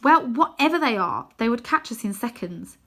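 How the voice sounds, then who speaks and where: Storybook girl, played by a woman: headstrong, scornful, firm, higher-pitched with lively swings. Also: moderate pace, heavily acted. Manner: firm, sceptical, exasperated. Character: stubborn, bossy, scornful girl, a twin sister.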